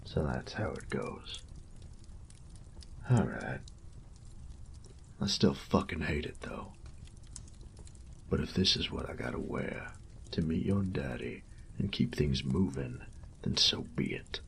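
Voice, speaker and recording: Man playing a very deep, gravelly bandit. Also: expressive, slight background noise, full character voice. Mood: gruff, grudging. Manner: slow, taunting drawl with folksy, profane phrasing. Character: sadistic male bandit, cocky, teasing, menacing rogue. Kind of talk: intimate roleplay audio drama.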